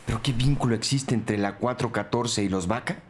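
An actor playing a blunt, commanding, driven police investigator in a crime audio drama. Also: male voice, medium pitch, normal speed, a little hiss or hum, close to an everyday voice. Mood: probing. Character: blunt, driven male police investigator hunting cartel bosses.

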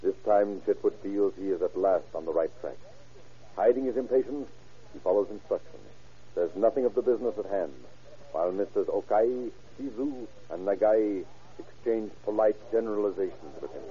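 A man narrating a vintage radio drama in a measured, dramatic storytelling style. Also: on the low side, dark tone. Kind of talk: 1940s radio scripted narration. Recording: muffled old radio recording.

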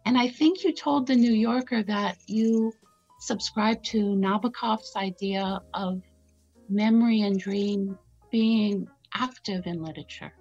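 Unhurried woman interviewer. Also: medium pitch, a little mellow, faint music behind. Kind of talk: interview questions. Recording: dull lo-fi sound.

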